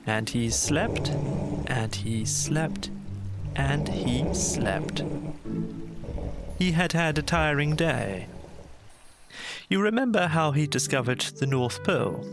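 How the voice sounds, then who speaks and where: A man narrating a scripted bedtime story as an audiobook storyteller, in a gentle, measured way. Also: on the high side, animated, loud music behind the voice.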